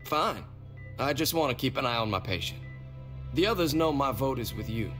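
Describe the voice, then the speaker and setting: A man's voice: mid-range voice, animated, normal speed, over music, lightly acted. A man playing an educated doctor in a video game drama, speaking in an articulate, formal manner. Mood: subdued.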